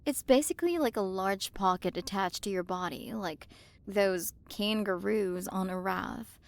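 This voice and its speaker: A woman's voice: mid-range voice, a little slow, lightly acted. A woman playing a haughty, possessive alien queen and scientist who talks down in a commanding, teasing way, in an ASMR roleplay. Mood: clinical.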